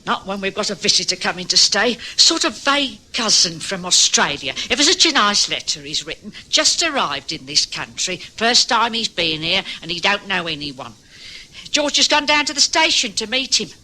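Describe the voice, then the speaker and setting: A woman's voice: mid-range voice, big pitch swings, normal speed, pushed and bright, heavily acted, poor audio. A woman playing a harried, hospitable working-class London housewife with a London accent, fussing and apologetic, in an old radio drama. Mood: weary chatty.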